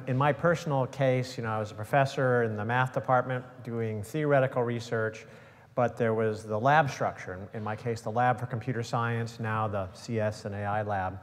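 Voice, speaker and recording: A man's voice: mid-range voice, long reverb tail. A middle-aged man speaking on a stage panel, explaining steadily with frequent "you know" fillers. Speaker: tech company CEO and professor on panel. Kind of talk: panel discussion. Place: large auditorium stage, seated. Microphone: lapel mic.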